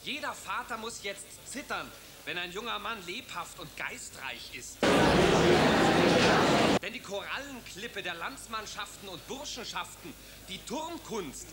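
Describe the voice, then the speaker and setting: Indignant scornful declaimer. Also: male voice, sits high, animated, unhurried, loud music behind the voice. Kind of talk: radio drama.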